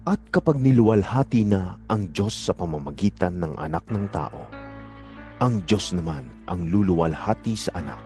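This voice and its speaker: Guy playing a solemn male teacher in a bible audio drama, low mellow voice, lots of rise and fall, earnest. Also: animated, normal speed, soft background music.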